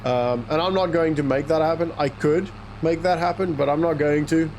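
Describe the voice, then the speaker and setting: A man's voice: on the high side, normal speed, a little mellow, slight background noise. A man whose first language is Afrikaans, chatting casually and rambling to himself while playing a farming game. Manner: rambling, self-talking, chuckles to himself. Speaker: gaming YouTuber. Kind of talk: casual let's-play commentary.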